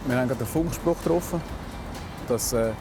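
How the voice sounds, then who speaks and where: A man's voice: medium pitch, fast, over music. A man with a Swiss German accent, a police officer explaining his work casually on the job.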